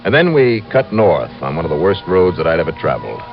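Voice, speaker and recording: A man acting as a wry, brisk insurance investigator in a 1950s American radio drama, with clipped, punchy delivery. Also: deep voice, sing-song. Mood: dry narration. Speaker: lead actor and narrator. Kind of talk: 1950s radio detective acting, first-person narration. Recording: muffled old radio recording with music.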